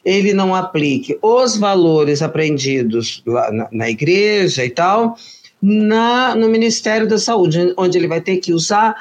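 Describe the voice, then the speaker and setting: A woman with a Brazilian accent, a panel commentator giving a critical, measured take on a new minister. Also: fairly low pitch, expressive, muffled recording. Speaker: political commentator.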